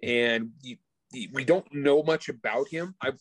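A man's voice: medium pitch, dry recording. Man chatting casually, very dry close sound. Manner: informal, slangy, halting with restarts and self-interruptions. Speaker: guest author discussing own book. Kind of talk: casual chat, interview.

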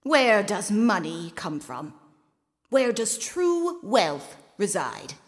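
An actress playing a patient, pragmatic and wry convent nun in an audio drama, gently admonishing. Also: female voice, medium pitch, sing-song, slow pace. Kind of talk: full-cast audio drama. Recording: long hall reverb.

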